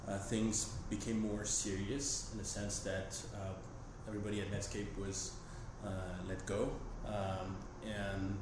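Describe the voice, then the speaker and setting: A man in his thirties from France with a French accent, answering interview questions in a halting way with many fillers. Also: lowish voice, little pitch movement. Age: 30s. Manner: hesitant, many ums and restarts. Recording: echoey room with background noise.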